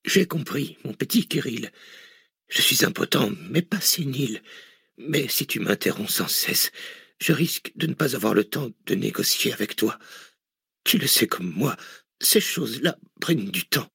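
A man voicing a gravely wounded old billionaire who speaks in a weak, breathy whisper, slow and mocking. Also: medium pitch, lively intonation, bright, forceful tone, dry recording, studio quality, pitched above the performer's own voice, exaggerated voice. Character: dying old male billionaire, weak, sly, sarcastic.

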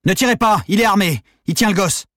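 Man putting on a loud, commanding police officer. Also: sits high, a little fast, no room sound, studio quality, voice pushed up. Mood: urgent alarm. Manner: loud, authoritative, urgent. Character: commanding male police officer calling through megaphone.

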